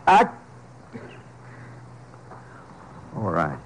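An actor playing a surly, hot-tempered ex-convict, gruff and curt, in a 1950s radio drama. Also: male voice, sits low, huge pitch swings, moderate pace. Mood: grudging. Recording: noisy old 1950s radio recording.

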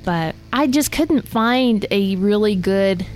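A woman's voice: mid-range voice, lively intonation, slow, a little mellow. A woman with a slight Southern US accent chatting casually as a podcast co-host. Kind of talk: casual chat. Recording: music behind the voice. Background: music.

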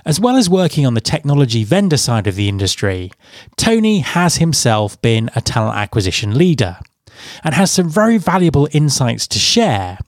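Male podcast host, lively upbeat delivery, dry close sound. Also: mid-range voice, normal speed, no room sound. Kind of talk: casual interview hosting.

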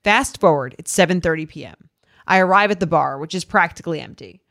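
A woman's voice: on the low side, fast, fairly bright tone. A woman podcast co-host reading a listener's email aloud in a conversational style, adding casual asides.

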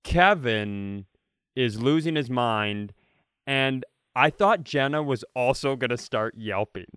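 A man hosting a comedy podcast, telling stories casually with animated exaggeration. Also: mid-range voice, slow, clear, resonant tone, no echo, clean studio sound. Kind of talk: casual comic storytelling.

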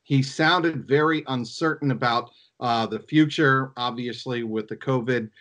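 A man gives off-the-cuff sports commentary. His voice is medium in pitch, and his phrasing is halting, with plenty of pauses as he builds each point. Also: a bit bright. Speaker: sports reporter and analyst.

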